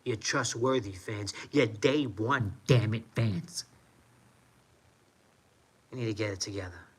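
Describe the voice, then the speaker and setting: A man's voice: fairly low pitch, slow, slightly rough. A man from New York City with an American accent, ranting casually on a livestream in an emphatic, repetitive way. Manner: emphatic, repeats himself for effect. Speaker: live streamer.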